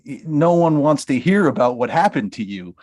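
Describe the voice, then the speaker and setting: A man's voice: mid-range voice, animated, normal speed, a little mellow, lightly acted. A man reading a blunt Hollywood manager. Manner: brusque, dismissive, laughs through lines. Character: blunt money-minded male talent manager. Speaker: actor in a table read. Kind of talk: comedy table read.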